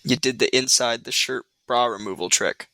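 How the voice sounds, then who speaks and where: A man's voice: medium pitch, moderate pace, vocal fry, sharp tone. An actor playing a wry young man with dry, teasing humor who looks after his sick date in a radio play.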